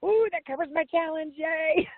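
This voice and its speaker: A woman's voice: very high-pitched, normal speed, dry recording. A woman in her fifties, a pageant titleholder chatting as an interview guest in a folksy, talkative way, often laughing. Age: 50s. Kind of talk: casual interview chat. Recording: tinny phone line, dry.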